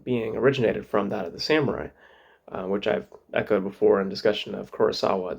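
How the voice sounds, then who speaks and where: Gravelly hoarse man reviewing a film. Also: lowish voice, darkish tone. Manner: rambling, many fillers and restarts. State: hoarse from a cold. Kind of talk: casual solo film review. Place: home.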